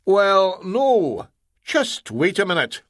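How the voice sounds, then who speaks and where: A man's voice: a little high, very animated, a little slow, a bit bright, dry recording, clean studio sound, pitched above the performer's own voice, full character voice. A man voicing a feverish, cunning male ship's engineer with a broad Scottish accent. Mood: gruff. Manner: broad dialect, stubborn and sly.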